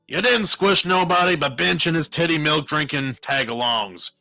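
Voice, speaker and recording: A man voicing a hot-headed outlaw in a western story, coarse, stern and forceful. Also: a little high, rapid-fire, gravelly, no echo, faint music behind, voice pushed up. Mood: scornful. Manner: coarse cowboy talk, shouting or stern.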